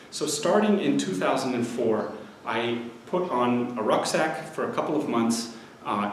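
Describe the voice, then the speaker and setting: A man in his forties giving an academic history lecture, measured and explanatory, steadily listing places. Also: mid-range voice, slight background noise. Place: lecture hall. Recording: very echoey hall with faint ambience.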